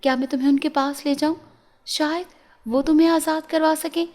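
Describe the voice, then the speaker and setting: A woman's voice: high voice, steady tone, brisk, darkish tone, pitched above the performer's own voice, close to an everyday voice. A woman voicing a tender-hearted young princess in a storybook tale, anxious and earnest, often questioning.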